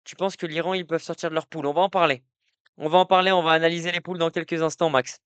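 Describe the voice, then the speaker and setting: Young male streamer, casual and rambling, with a smooth, slightly high voice and a dry close sound. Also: level tone, racing, fairly bright tone, dry recording, highs cut off. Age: young adult. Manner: rambling, many fillers and restarts, talks to chat. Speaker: live streamer. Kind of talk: casual live-stream football talk. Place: indoor room at night.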